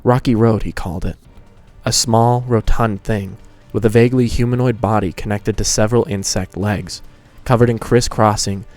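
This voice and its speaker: A man's voice: lowish voice, fairly even intonation, normal speed, a little mellow, soft background music. A man hosting a fan fiction reading and narrating in a casual style. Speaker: host and fan fiction reader.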